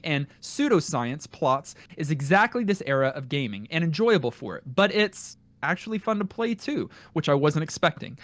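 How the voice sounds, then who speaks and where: Male podcast host, on the higher side, conversational, reads listener mail, dry recording. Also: no room sound. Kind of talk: casual podcast talk, reading listener comments aloud.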